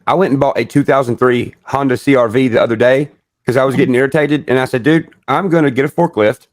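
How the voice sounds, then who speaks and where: A man's voice: medium pitch, fairly even intonation, fast talker, no echo. A man chatting casually in loose, laid-back slang. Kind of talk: casual chat with slangy speech.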